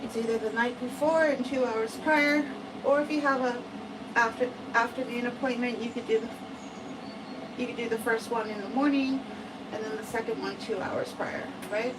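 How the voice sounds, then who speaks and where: An American woman who grooms cats, chatting slowly through a bath demonstration and often asking "right?". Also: a little high, fairly even intonation, far from the mic, noisy background. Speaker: cat groomer. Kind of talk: casual livestream grooming demo.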